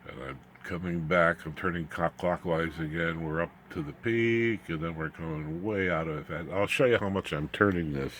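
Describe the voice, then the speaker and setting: A man giving an informal, unscripted electronics demonstration, haltingly, muttering asides as he works with his hands. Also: low-pitched, a bit bright, a little hiss or hum. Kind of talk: informal unscripted technical show-and-tell.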